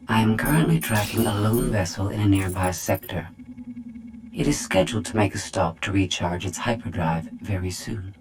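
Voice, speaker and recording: A woman's voice: a bass voice, background noise. A woman voicing a cold, superior female droid in a video game, precise and detached, with synthetic processing. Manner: precise, detached, clinical, condescending.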